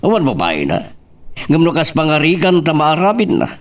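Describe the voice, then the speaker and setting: A man's voice: a little high, wildly swooping pitch, a little fast, distant mic. A man with a Filipino accent playing an older father who advises his married daughter in an audio drama. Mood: concerned, advising. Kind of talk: Ilocano radio-style drama acting.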